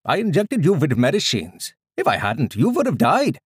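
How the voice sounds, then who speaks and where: A man voicing a frail old male doctor with an Indian accent, brisk and clinical, in an audiobook. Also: fairly high pitch, huge pitch swings, slightly rough, no echo, studio quality, pitched above the performer's own voice, full character voice. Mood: matter-of-fact. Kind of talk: audiobook character dialogue.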